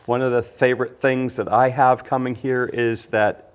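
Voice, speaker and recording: A man's voice: medium pitch, flat delivery, normal speed, smooth. A man from Canada hosting a casual whiskey chat, introducing his guests in a chatty way. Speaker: host. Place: indoors at a teaching academy.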